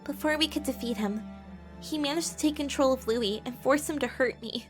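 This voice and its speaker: A woman's voice: high-pitched, fairly quick, natural voice. A woman playing an earnest, wounded and insecure young woman in a dramatic audio drama, with music behind her. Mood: sorrowful, reflective.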